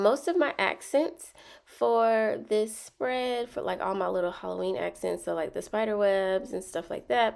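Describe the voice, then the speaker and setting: A woman's voice: medium pitch, creaky, darkish tone. A young woman with a Southern accent, stuffy and a bit nasal from a cold, chatting casually as she narrates her planner video, rambling with many ums and likes.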